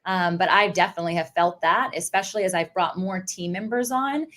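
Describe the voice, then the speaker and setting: A woman's voice: lowish voice, brisk, a bit bright. A woman hosting a podcast, affirming her guest and speaking reflectively from her own experience as an entrepreneur. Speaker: podcast host. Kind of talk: conversational interview.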